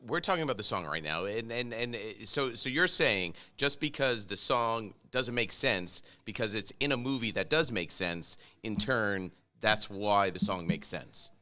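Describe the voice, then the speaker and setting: A man's voice: on the low side, lively intonation, moderate pace, hardly any pauses, sharp tone, clean tone. A man co-hosting a casual talk show in a car service center. He needles the host with contrarian, offbeat arguments. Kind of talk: casual banter.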